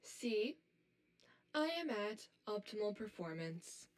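A woman's voice: mid-range voice, animated, slow. A woman acting as an anxious, hollow female robot in an audio drama, speaking in formal, stilted, literal phrases. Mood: stiffly reassuring.

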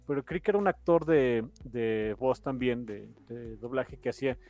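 A man's voice: mid-range voice, moderate pace, darkish tone. A man with a Mexican accent hosting a podcast, chatting casually in an enthusiastic, rambling way. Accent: Mexican Spanish. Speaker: podcast host.

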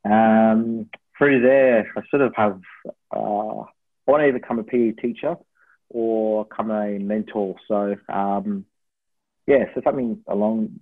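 Husky Australian man on a phone-like line. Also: fairly low pitch. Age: 20s. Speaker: podcast guest, university student. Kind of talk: casual interview chat. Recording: thin phone-like line with room echo.

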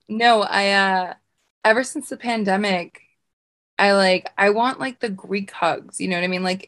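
A woman's voice: mid-range voice, a bit bright. A young adult woman co-hosting a podcast in casual chat, rambling and laughing often. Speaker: podcast co-host.

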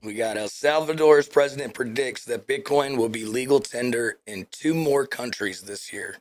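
A man's voice: medium pitch, moderate pace. A man co-hosting a podcast, reading news headlines and list items aloud.

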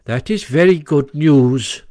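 Man doing a storybook mole, earnest n grave, helpful sort, highish voice. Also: sing-song, unhurried, dry recording, studio quality.

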